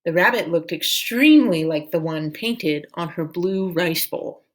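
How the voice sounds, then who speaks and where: A woman reading a children's novel aloud as a storyteller, in a measured, deliberate way. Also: lowish voice, expressive.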